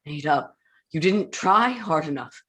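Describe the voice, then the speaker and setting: A woman playing an anguished, stubborn young scientist in a staged gothic reading, intense and halting. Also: low-pitched, expressive, fairly bright tone, full character voice. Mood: agitated. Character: Victor, anguished, grief-stricken young scientist, stubborn and wild.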